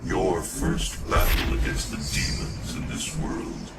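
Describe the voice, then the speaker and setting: An actor playing a solemn, knowing guide in a video game, speaking in a formal, measured, grave manner. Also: male voice, lightly acted. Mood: grave, reverent. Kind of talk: scripted game cutscene narration. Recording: distant voice under loud music.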